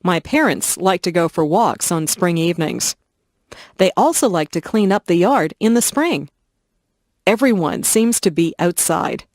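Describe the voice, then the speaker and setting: Lady reading easy english stories, low-ish voice, super dry close sound. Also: expressive, a little slow, no echo. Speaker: reader of English listening stories. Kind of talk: slow scripted reading for learners.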